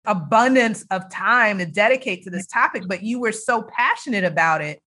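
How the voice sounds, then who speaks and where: Female podcast host, bright forward voice, mid-range pitch, encouraging conversational interviewer. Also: lively intonation, normal speed.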